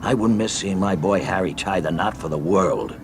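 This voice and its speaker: A man's voice: deep voice, normal speed, background noise. A man voicing a hearty, easygoing American husband on holiday, a folksy and enthusiastic storybook character. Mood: hearty, pleased.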